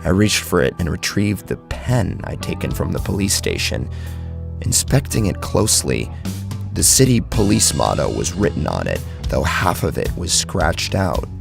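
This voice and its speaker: Deep, gravelly male horror narrator. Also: big pitch swings, normal speed, music in the background. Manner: theatrical, suspenseful delivery. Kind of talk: dramatic first-person horror story narration.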